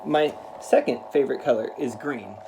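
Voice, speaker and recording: A man speaking to children in an earnest, careful, deliberate way, as in a read-aloud. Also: medium pitch, noisy background. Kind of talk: read-aloud of a children's picture book with spoken introduction.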